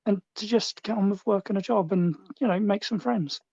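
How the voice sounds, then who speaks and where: Sweary rambling guy on a muffled lo-fi call, dark mellow voice. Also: sits high, a little fast, creaky, dry recording. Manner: blunt, profane, rambling. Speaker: co-host. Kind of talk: casual chat.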